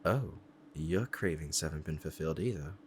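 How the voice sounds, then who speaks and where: A man's voice: very deep voice, expressive, moderate pace, a little hiss or hum. A man playing a powerful demon devoted to a beloved master, speaking formally and earnestly in a romantic ASMR roleplay. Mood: adoring, hungry. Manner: formal archaic diction, earnest and reverent.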